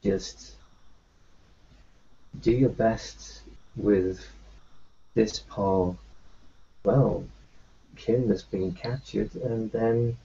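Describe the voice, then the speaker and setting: A man in an in-character tabletop roleplay, talking in a halting, reassuring way with many restarts. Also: fairly low pitch, steady tone, gravelly, mellow, highs cut off, slight background noise.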